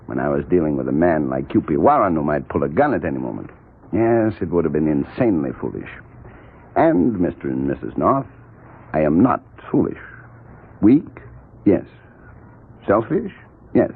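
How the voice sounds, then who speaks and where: Casting: weaselly, affected blackmailer, swooping husky voice, old muffled radio recording. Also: male voice, rumbling low, sing-song, normal speed, long pauses, exaggerated voice. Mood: smug, jaunty. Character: cowardly, slippery male blackmailer with affected cheerful manners. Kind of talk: 1940s radio drama acting.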